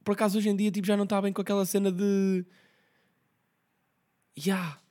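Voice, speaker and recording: A hoarse young man with a Portuguese accent, hosting his podcast as a rambling, slang-heavy casual chat. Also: sits high, flat delivery, a little mellow. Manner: slangy, rambling, quick talk with many fillers. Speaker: podcast host.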